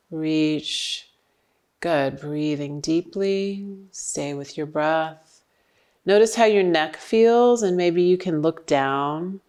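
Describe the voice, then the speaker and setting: A woman yoga instructor guiding breathing and stretches with calm, encouraging, short cues. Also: sits low. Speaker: yoga instructor leading a class.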